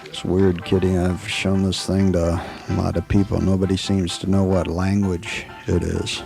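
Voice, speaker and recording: An actor playing a laconic, tough American frontier marshal in a Western radio drama, with a halting, folksy drawl. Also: male voice, deep voice, monotone, creaky, over music. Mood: easygoing.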